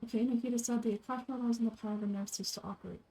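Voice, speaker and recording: A woman's voice: a little high, fairly even intonation, racing, mellow, low-quality recording. A woman reading scripted video game dialogue aloud as an amateur voice-over performer.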